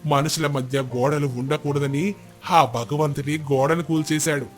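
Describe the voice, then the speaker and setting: A man plays a once-proud old village husband, now humbled, in a storybook tale. His voice is a bit high, earnest and apologetic, with soft music behind it. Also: fairly even intonation, measured pace, natural voice. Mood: ashamed. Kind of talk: moral storybook character dialogue.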